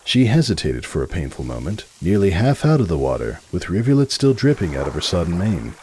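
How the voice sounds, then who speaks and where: A male narrator gives a dramatic story reading in a very low, husky voice. His delivery is expressive, with lively rises and falls in pitch. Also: a little fast, low-quality recording. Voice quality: deep, husky.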